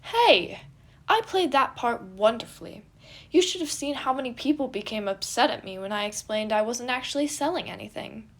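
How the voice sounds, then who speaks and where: A woman's voice: a little high, animated, moderate pace, pitched above the performer's own voice. A bold teenage prince acted by a woman, proud and theatrical. The speech is grandiose and exclamatory, pushed out with showy confidence for a storybook reading. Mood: indignant. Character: bold theatrical young prince, boastful and dramatic.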